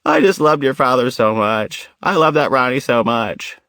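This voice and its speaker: An American man voicing an overwrought, pushy grieving woman at a funeral, loud and dramatic, in an audiobook. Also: medium pitch, moderate pace, no room sound, studio quality, voice pushed up. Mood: wailing grief. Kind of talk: audiobook character voice.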